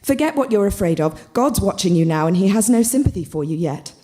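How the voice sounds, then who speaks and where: A woman's voice: medium pitch, lively intonation, brisk, mellow, pitched above the performer's own voice, natural voice. A woman voicing a bossy, zealous thirteen-year-old girl in a storybook reading, with a commanding, solemn manner. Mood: stern, urgent.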